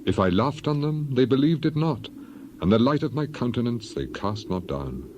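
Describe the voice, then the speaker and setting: A man's voice: mid-range voice, very animated, moderate pace, noisy background, pitched above the performer's own voice, natural voice. A man voicing a grieving, dignified biblical elder in a solemn, lamenting, declamatory dramatized reading. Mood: proud, impassioned.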